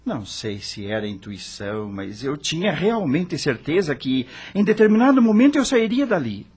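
A man's voice: on the high side, very animated, moderate pace, a little mellow, slightly rough. An actor playing an anguished dead male rock star, narrating his own story in a theatrical, brooding radio drama style.

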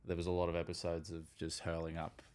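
A man's voice: sits low, monotone, fast, a little mellow. A man co-hosting a podcast, chatting casually and relating his own experiences to the guest's. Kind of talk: casual chat.

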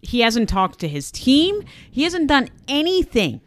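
A woman's voice: high-pitched, huge pitch swings, a little slow, fairly bright tone. A woman co-hosting a casual sports chat, emphatic and repeating her points for effect. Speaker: sports talk show co-host.

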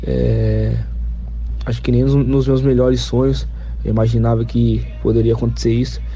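A man's voice: mid-range voice, little pitch movement, normal speed, vocal fry, mellow, faint music behind. A man of twenty-eight, a football striker giving hesitant interview answers and repeating words as he thinks. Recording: muffled lo-fi recording with faint noise.

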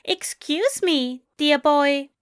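A woman voicing a polite, bewildered British gentleman in precise, enunciated tones for an audio story. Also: high-pitched, expressive, extremely slow, pitched above the performer's own voice, exaggerated voice. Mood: puzzled, courteous. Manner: genteel, over-polite, fussy.

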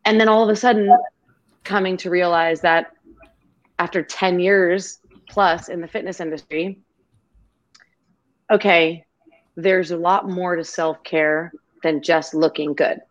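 A woman with a low voice speaks as a guest over a video call. She talks in an effusive, grateful and rambling way. The audio is dull and lo-fi. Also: steady tone, unhurried, long pauses. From half Greek, half Irish family. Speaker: podcast guest. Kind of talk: casual video-call interview.

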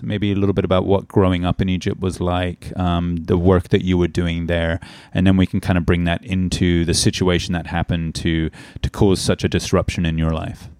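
Male podcast host, middle-aged, very low mellow voice, earnest, lots of ums, dry sound. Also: fairly even intonation, moderate pace, dry recording. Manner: earnest, heavy with "um" and "uh". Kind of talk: podcast intro and interview.

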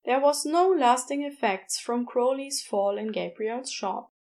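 A woman reading fiction as an audiobook narrator, expressive, with wry, deliberate emphasis. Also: a little high.

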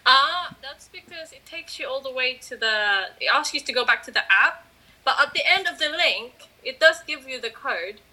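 A woman's voice: sits high, expressive, normal speed, sharp tone. A woman guest in a casual group chat, talking in a breezy, joking way. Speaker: podcast guest.

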